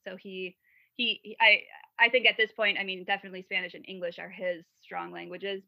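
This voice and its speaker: A woman from Wisconsin talks as a podcast guest, her voice low and gravelly with a flat, even melody. She rambles with fillers and restarts. The recording is dry and dull, with a lo-fi sound. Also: no echo. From Wisconsin, USA. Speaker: podcast guest, mother of three. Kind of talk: casual interview storytelling.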